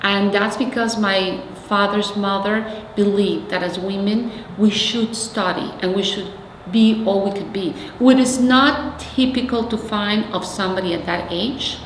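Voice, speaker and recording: A woman's voice: medium pitch, steady tone, measured pace, hardly any pauses. A woman from Mexico, a native Spanish speaker with a Mexican accent, explaining things as the guest in an oral history interview. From Mexico City, Mexico. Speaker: interviewee, arts nonprofit representative. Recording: echoey reverberant room, faint noise.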